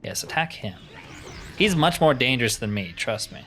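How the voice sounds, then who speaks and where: A man's voice: medium pitch, lively intonation, normal speed, a bit bright. Male gaming host, casual think-aloud commentary with mild exclamations, music underneath. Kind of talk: casual game playthrough commentary.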